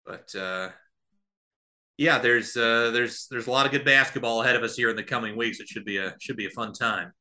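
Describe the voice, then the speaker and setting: Bright, forceful male basketball play-by-play caller. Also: medium pitch, brisk. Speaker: podcast host doing play-by-play. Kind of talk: live basketball radio play-by-play commentary.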